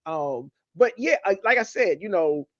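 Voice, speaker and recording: A man's voice: high voice, expressive, a little slow, fairly bright tone, dry recording. An American man in a casual online discussion, rambling as he retells things and quotes himself. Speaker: online commentator. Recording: slightly dull, compressed online audio.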